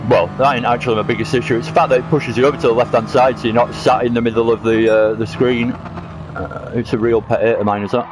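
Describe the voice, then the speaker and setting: A man's voice: mid-range voice, steady tone, fast talker. Chatty man with music behind. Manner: chatty, laughs often, teases friends in chat. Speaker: sim racing streamer. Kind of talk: casual live-stream gameplay commentary.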